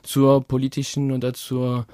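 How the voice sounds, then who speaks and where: A young man from France with a French accent, speaking German as a guest in a radio interview, calm and formal. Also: medium pitch, no echo. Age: young adult. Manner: calm, measured, formal phrasing. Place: radio studio.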